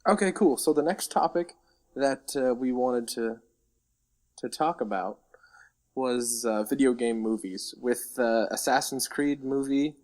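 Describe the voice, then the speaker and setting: A man's voice: medium pitch, unhurried, a little mellow. A man co-hosting a casual podcast, jokey and given to repeating words for emphasis. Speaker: podcast co-host. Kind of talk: casual banter about games and films.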